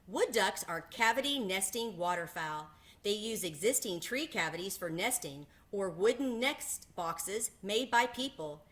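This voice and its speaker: A woman with a bright, forward voice reads aloud from a children's picture book. She sounds like a teacher reading to a class. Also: medium pitch, lively intonation, unhurried, few pauses. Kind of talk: children's storybook read-aloud.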